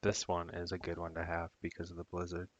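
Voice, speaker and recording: A man with a very low voice talks over a voice call, and the sound is dull, dry and lo-fi. He is easygoing and chatty as he swaps stories about earlier matches. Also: completely flat delivery, quick pace, no room sound. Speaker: guest player on a call. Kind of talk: casual gaming chat.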